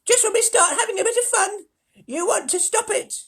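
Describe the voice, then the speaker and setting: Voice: extremely high pitch, normal speed, fairly bright tone, voice pushed up. A reader voicing a rowdy, bossy old grandmother who shrieks at everyone. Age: older.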